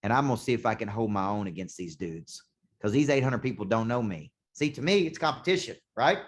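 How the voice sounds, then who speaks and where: A man, a sales coach, giving an intense motivational talk with punchy repetition, slowing down to hammer key points. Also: lowish voice, lively intonation. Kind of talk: motivational talk to a show host. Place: condo.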